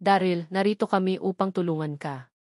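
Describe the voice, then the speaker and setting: Gentle fairy sect leader read by a woman, audiobook fantasy dialogue, dry sound. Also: fairly low pitch, moderate pace, no room sound, lightly acted. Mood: gentle reassurance.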